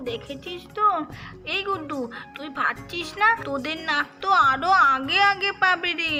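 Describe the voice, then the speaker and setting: A woman's voice: sits high, lightly acted. A woman voicing an eager, food-loving little child in a cartoon, chattering and wheedling with repeated calls. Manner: wheedling, repetitive calling.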